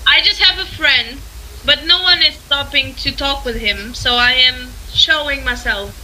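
Young woman from portugal on a webcam chat, high and very bright, friendly. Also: measured pace, slight background noise, rough recording. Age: 20s. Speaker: video chat stranger. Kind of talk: casual video chat. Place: indoor bedroom.

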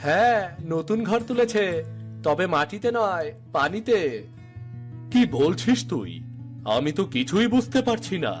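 Man playing a village man, high voice, dramatic cartoon dialogue, music underneath. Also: expressive, a little slow. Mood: anxious. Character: several village men in a folk-tale cartoon, quarrelling and pleading.

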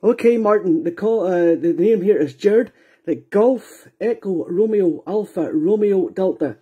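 A man's voice: high voice, measured pace, slightly rough, highs cut off. A Scottish man talking courteously and a little hesitantly as an amateur radio operator, spelling out call signs. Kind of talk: radio contact chat, procedural. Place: indoor radio room.

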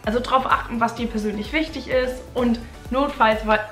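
A woman's voice: fairly high pitch, fairly even intonation, normal speed, a bit bright, echoey room, faint music behind. A young woman vlogging in a home living room, chatting casually and hesitantly with many fillers. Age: young adult. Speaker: au pair vlogger. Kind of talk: casual chat.

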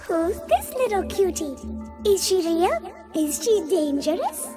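A woman's voice: very high voice, big pitch swings, a little slow, exaggerated voice. A woman voicing a cute, eerie childlike girl in a video game, with a teasing sing-song delivery that is playful and menacing. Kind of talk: video game cutscene acting. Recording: music over the voice.

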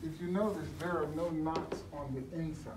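A man, a sculptor, casually explaining his work in a halting way, searching for his words. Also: on the high side, background noise. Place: gallery room, indoors. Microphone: far camera. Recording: echoey room, distant mic.

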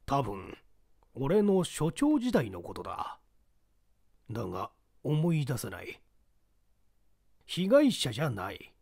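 A man voicing a puzzled police detective chief muttering gruffly to himself in a mystery audiobook. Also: mid-range voice, huge pitch swings, takes it slowly, pauses often, a little mellow, heavily acted. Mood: puzzled, brooding.

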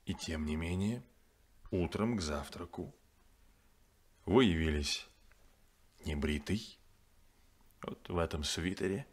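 An actor playing a stern, demanding ship captain in a 1970s radio play, firm and reproachful. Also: male voice, sits low, a bit bright.

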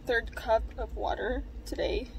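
A woman's voice: on the high side, a little slow, creaky, recorded from a distance, a little hiss or hum, rough recording. A woman in her twenties chatting casually to her vlog audience from a parked car, rambling and hesitant with lots of ums. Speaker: vlogger. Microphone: phone camera propped low in the car.

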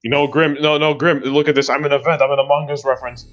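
A man's voice: on the high side, flat delivery, fast talker, a bit bright, dull audio, faint music behind, close to an everyday voice. A man voicing a goofy male stealth agent in an improvised comedy fan dub, rambling and shrieking.

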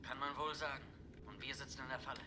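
A man's voice: mid-range voice, fairly even intonation, fast talker, close to an everyday voice. An actor playing a pessimistic, resigned male space crewman in a 1960s television science fiction drama. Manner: fatalistic. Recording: noisy old 1960s TV soundtrack. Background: ambient noise.

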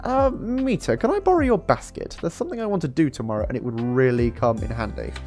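A man's voice: medium pitch, lively intonation, fast talker, darkish tone, lightly acted. A man voicing an earnest, cheeky twelve-year-old boy in a storybook read-aloud. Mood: eager. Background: background music.

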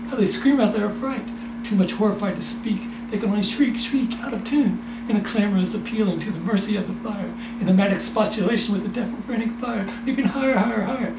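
An older man in his sixties performs poetry in a high-pitched voice. His delivery is intense and theatrical, lingering on repeated words. The room rings with echo, and heavy noise sits behind him. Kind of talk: theatrical dramatic poetry recitation. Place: small indoor room. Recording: echoey room, loud background noise.

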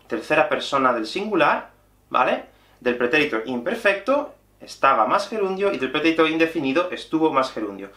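A man, teaching in an animated online lesson. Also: a little high. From Spain. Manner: animated, gestures constantly while explaining. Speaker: Spanish teacher. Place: small room with bookshelf and whiteboard. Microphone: camera at mid-shot distance. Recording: echoey small room.

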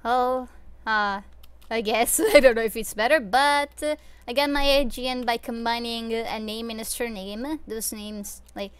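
A woman's voice: a little high, steady tone, moderate pace, clean tone, no room sound. A young woman from Switzerland chatting casually while livestreaming a game, rambling with many fillers and little laughs, into a desk microphone at home. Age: young adult. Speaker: streamer. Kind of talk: casual live gameplay chat. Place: home room.

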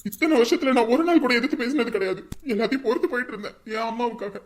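A woman acting a grieving young son who sobs and shouts over his mother. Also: fairly high pitch, brisk, close to an everyday voice. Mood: furious. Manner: shouting, sobbing.

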